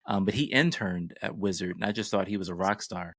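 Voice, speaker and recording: Man, lowish voice, dry close sound, casual podcast chat, home studio co-host. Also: brisk, creaky, fairly bright tone, no room sound.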